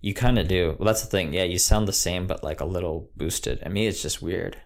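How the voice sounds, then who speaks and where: A man's voice: deep voice, a little fast, gravelly. A young man chatting casually on a podcast over a headset mic, laid-back and wry. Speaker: podcast co-host. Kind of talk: casual chat.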